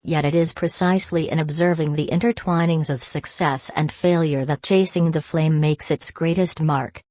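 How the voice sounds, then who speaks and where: A woman with a low voice reads practice passages aloud. The delivery is scripted, as for a language test exercise. The sound is dry, with very little room in it. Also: fairly even intonation, normal speed, a little mellow, dry recording. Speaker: reader of test practice texts.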